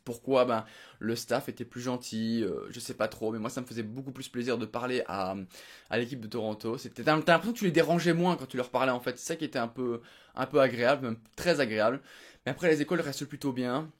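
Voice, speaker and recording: Young male vlogger, quick rambling delivery, lots of fillers, unscripted home recap. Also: mid-range voice. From Belgium. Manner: rambling, many fillers and restarts. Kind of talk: unedited casual recap to camera. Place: home room.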